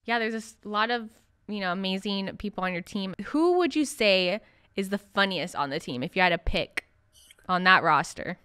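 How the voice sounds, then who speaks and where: Female podcast interviewer: bright, smooth tone, chatty and informal sports-talk style, close dry sound. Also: mid-range voice, animated, normal speed, no room sound.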